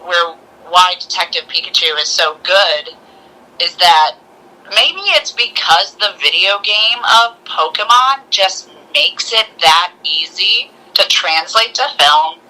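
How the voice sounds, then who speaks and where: A woman co-hosting a casual movie discussion, talking in an excitable way and acting out little quotes. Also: fairly low pitch, takes it slowly, low-quality recording. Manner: excitable, acts out little quotes as she talks. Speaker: co-host of a movie review show.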